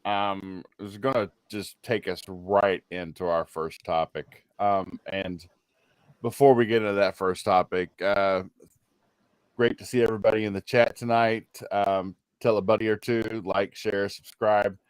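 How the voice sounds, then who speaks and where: A man's voice: lowish voice, steady tone, a little slow, clean tone, dry recording. A man hosting a live gaming show in an easygoing way, leading into topics. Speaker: host.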